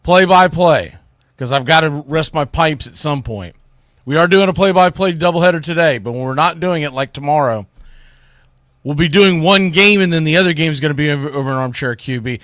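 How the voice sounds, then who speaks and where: Folksy man hosting sports talk, slightly high voice. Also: brisk, fairly bright tone, no room sound, studio quality. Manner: folksy, rambling, informal with Southern-style turns like y'all. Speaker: sports show host. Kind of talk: casual solo livestream sports commentary.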